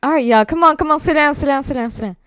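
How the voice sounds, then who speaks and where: A woman's voice: high-pitched, racing, a bit bright, no room sound, studio quality. A woman playing a sassy, bossy young woman who runs her household in an improvised game roleplay, repeating her commands. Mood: insistent.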